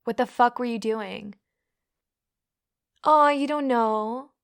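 A woman's voice: a little high, slow pace, vocal fry, smooth. A young woman playing a scornful, bullying college woman who taunts and swears constantly. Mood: mocking. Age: young adult. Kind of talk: audio roleplay.

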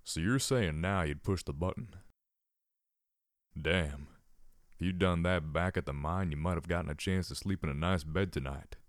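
Guy doing a weary old storybook horse, deep gruff voice, folksy and mocking. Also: normal speed, no room sound, studio quality, lightly acted. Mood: gruff, needling. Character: gruff old male pony, weary cynical hallucination.

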